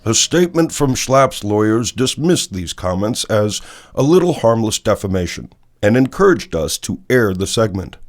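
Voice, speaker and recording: An actor playing a serious, polished television newsmagazine anchor, narrating with measured, dramatic emphasis. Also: male voice, fairly low pitch, a little mellow. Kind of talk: scripted broadcast news narration.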